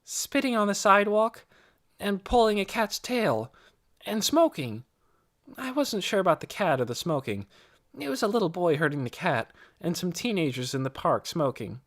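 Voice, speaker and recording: A man's voice: high voice, animated, normal speed, fairly bright tone, pitched above the performer's own voice, heavily acted. A man voicing an eager, earnest young girl in an audiobook, breathless and keen to please. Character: eager, earnest young girl confessing what she saw. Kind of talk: audiobook character voice.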